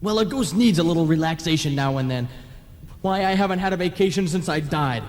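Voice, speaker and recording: Man playing a surly, highish-voiced rebel ghost. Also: animated, fairly quick, natural voice. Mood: defiant, sullen. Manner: surly, blunt, theatrical. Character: defiant, fed-up male ghost on trial, work-shy rebel. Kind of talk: old-time radio play acting. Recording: long hall reverb.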